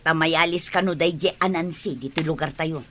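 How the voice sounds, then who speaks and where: A woman's voice: deep voice, normal speed, noisy background, lightly acted. A woman with an Ilocano Filipino accent playing a resentful, gossipy neighbour in a radio drama, complaining sharply.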